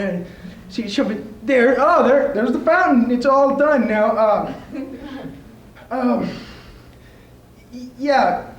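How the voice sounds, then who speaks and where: A helpful but clumsy butcher, acted by a man in a stage comedy, casual and easily flustered. The voice sits very high and moves slowly and haltingly, in an echoey room with faint noise. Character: bumbling, helpful butcher with knives, easily frustrated. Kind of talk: improvised comedy sketch on stage. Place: live stage before an audience.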